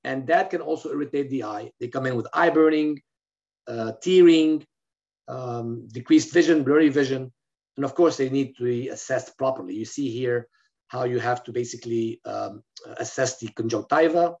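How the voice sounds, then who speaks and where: This middle-aged man, originally from Lebanon, delivers a medical webinar lecture with a gravelly, creaky voice at an ordinary pitch. He explains carefully, often pausing on fillers and restarting phrases. The webcam audio sounds slightly dull and lo-fi. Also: darkish tone. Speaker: physician lecturer. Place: indoor room, in front of a plain wall. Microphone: webcam on a video call.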